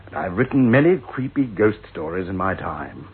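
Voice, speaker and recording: An actor playing a stubborn, sceptical husband in a radio drama, brusque and exasperated. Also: male voice, low-pitched, big pitch swings, moderate pace, breathy edge, heavily acted. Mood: defiant. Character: stubborn sceptical husband, a writer of ghost stories. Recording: lo-fi phone-like old radio, hissy.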